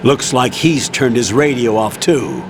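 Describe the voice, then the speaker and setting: Commanding colonel played by a man, firm and businesslike mid-pitched male voice, game briefing over clear background noise. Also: measured pace, lightly acted. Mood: stern, firm. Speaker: commanding officer. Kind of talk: dramatic video game voice acting.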